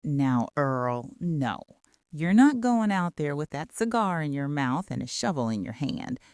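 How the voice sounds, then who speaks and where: A woman plays an older wife, firm but gently teasing. Her lowish voice rises and falls in lively coaxing, in a dry-sounding comic radio skit. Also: measured pace, smooth, dry recording, exaggerated voice. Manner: scolding yet coaxing.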